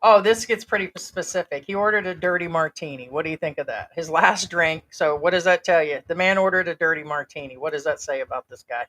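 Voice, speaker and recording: A woman's voice: sits low, fast, hardly any pauses, fairly bright tone. A woman from the United States co-hosting a casual chat, laughing often and reading a story aloud with comments. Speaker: co-host.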